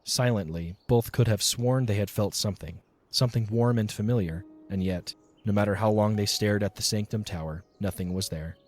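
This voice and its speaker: A man narrating a scripted dramatic story in a measured, descriptive storytelling manner. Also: lowish voice, a little mellow.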